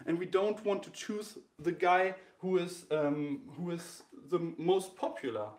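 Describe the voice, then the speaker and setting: A man in his thirties from Germany with a German accent, hosting a battle and explaining the rules live to a phone camera, halting with many fillers and restarts. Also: sits high, darkish tone, reverberant. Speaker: host of a graffiti sketch battle. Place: indoor room with a wall-mounted bracket.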